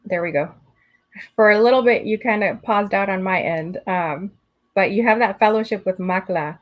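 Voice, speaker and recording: A woman's voice: medium pitch, normal speed, lo-fi sound. A woman hosting a casual video call conversation, affirming and slipping Spanish phrases into her English. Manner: affirming, frequent "right?" tags. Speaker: host.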